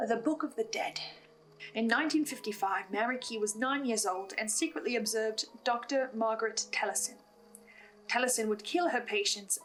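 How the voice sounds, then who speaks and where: A woman's voice: fairly high pitch, fairly bright tone, poor audio. A woman narrating a scripted recap as the host of an analysis video, with an earnest, investigative manner. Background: music bed.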